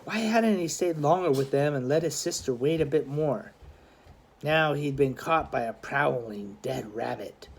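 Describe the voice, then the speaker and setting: A man reading a children's adventure novel aloud as scripted narration, in a dramatic, expressive storytelling manner. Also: fairly high pitch. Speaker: audiobook narrator, schoolmaster.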